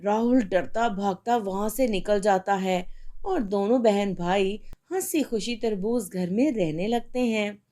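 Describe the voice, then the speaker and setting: Casting: female narrator for children's stories, scripted read, medium pitch, slow pace. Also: warm tone. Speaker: storyteller.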